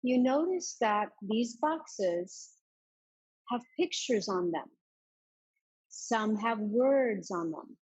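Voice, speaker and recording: Slow, husky woman guiding meditation. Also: a little high, expressive, darkish tone, rough recording. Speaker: yoga and meditation teacher. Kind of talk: guided meditation with visualization.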